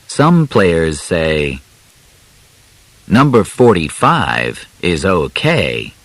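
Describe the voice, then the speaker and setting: Man, scripted audiobook narration, unhurried, dry close sound, short phrases with clear pauses. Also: fairly low pitch, no room sound. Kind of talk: scripted narration of a simplified biography for learners.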